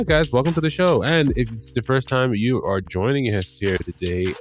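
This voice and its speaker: A man hosting a casual recap podcast with a stuffed-up nose, rambling, correcting himself and swearing casually. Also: on the low side. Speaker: podcast host.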